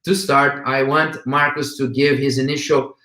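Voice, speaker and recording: A man's voice: medium pitch, flat delivery, measured pace, pushed and bright, reverberant. A man with a Brazilian accent hosting a casual interview, asking eager questions with many fillers. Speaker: host.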